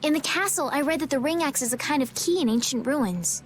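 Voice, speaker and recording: Woman playing a high-voiced, polite young noblewoman. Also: a little fast, a little hiss or hum, natural voice. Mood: earnest. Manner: courteous, formal, gentle. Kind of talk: English video game voice acting.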